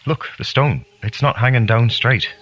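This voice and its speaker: A man's voice: mid-range voice, normal speed, fairly bright tone, muffled recording, lightly acted. An actor playing a sneering, sycophantic cult henchman in an audio drama, needling as he talks. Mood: alarmed.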